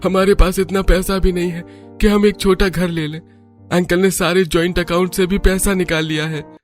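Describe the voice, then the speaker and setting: A man's voice: high voice, flat delivery, normal speed, faint music behind, close to an everyday voice. A man playing a distraught adult son in a melodramatic cartoon family story.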